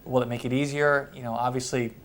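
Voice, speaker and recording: A man's voice: medium pitch, level tone, quick pace. A man hosting an interview show, asking questions in a loose, conversational way. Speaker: show host.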